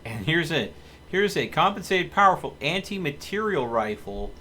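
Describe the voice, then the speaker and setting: A man's voice: a little high, sing-song, normal speed, sharp tone. A man giving casual live commentary as he streams a video game. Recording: faint background noise.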